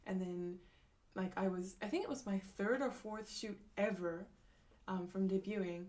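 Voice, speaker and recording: A woman's voice: mid-range voice, normal speed, darkish tone. A young woman chatting casually on a live stream, reading out comments with lots of fillers, restarts and laughs. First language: not Japanese.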